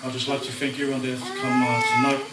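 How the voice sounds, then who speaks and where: A man in his thirties from New Zealand, the son of the deceased, giving a halting, heartfelt funeral speech with many fillers. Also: medium pitch, flat delivery. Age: 30s. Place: funeral home chapel. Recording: echoey hall, far mic, loud noise.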